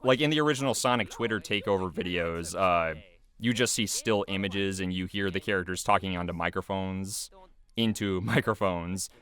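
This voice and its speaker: A man's voice: sits low, moderate pace, a bit bright. A man giving casual, rambling, self-effacing behind-the-scenes commentary in a chatty way. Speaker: video creator giving commentary. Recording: music playing behind the voice. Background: music.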